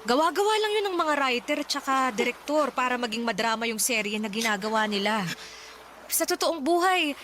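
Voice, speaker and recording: A woman's voice: a little high, animated, normal speed, noisy background, lightly acted. An actress playing a caring, reassuring mother in a radio drama, speaking in a tender, motherly way. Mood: reassuring, earnest. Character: caring, reassuring widowed mother of two young boys. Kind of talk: Tagalog radio drama acting.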